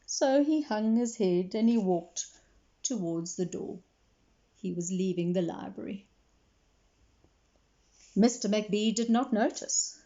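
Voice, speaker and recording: A woman reading a children's story expressively, pausing to explain and put questions to young listeners. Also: mid-range voice, mellow, dull audio. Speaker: read-aloud storyteller for children.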